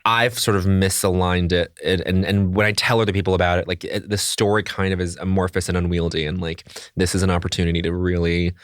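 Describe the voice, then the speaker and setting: Man in his thirties, low voice, dry close mic, thoughtful podcast co-host. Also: flat delivery, brisk, few pauses, no echo. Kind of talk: casual chat.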